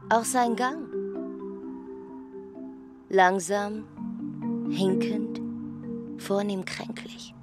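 A woman's voice: medium pitch, drawn-out words, long pauses. A woman reading scripted literary narration as the narrator of a radio play. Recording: very loud music underneath. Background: instrumental music.

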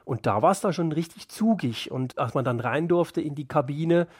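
A man's voice: on the high side, animated, moderate pace, husky, no room sound, studio quality. A man giving spontaneous, reflective interview answers as a guest, thoughtful and drawing out key words.

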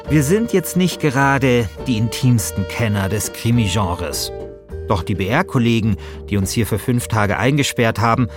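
A man hosting a podcast, moving between casual chat and scripted narration. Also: medium pitch. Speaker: podcast host.

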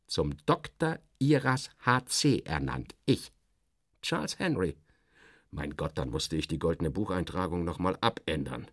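Wry, animated journalist, low-voiced. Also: male voice, dry recording, studio quality, full character voice. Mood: sardonic, impatient. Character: sardonic American male journalist, restless, weary, recounting his journey. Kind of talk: radio play first-person narration with acted dialogue.

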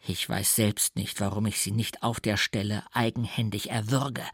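Guy doing an angry threatening nobleman for an audiobook, gravelly, very proper and outraged. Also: on the low side, flat delivery, moderate pace, no echo, clean studio sound, voice pushed down. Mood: furious, threatening. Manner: indignant, menacing, formal. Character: furious, threatening count trapped in another man's body. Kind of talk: dramatic audiobook character reading.

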